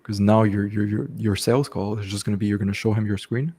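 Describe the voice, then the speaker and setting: Give me a man with a deep, warm voice hosting a casual live Q&A. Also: fairly even intonation, quick pace, husky. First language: French. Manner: casual, repeats "okay" and "bro", frequent restarts. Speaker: host coaching online business. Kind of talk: live stream Q&A, casual advice.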